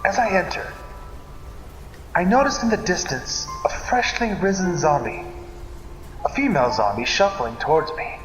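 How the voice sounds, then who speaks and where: A man narrating a scripted story in a theatrical, deliberate manner, like an old-time radio serial. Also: high-pitched, expressive. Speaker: narrator of a dream story series. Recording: echoey reverberant hall, loud music behind. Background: music.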